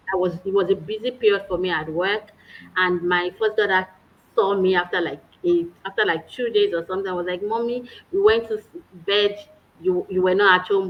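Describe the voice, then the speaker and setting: A woman's voice: lowish voice, lo-fi sound, slight background noise. Woman telling her story earnestly. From Nigeria. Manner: earnest, warm storytelling with small laughs. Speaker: guest. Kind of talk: personal story in casual chat.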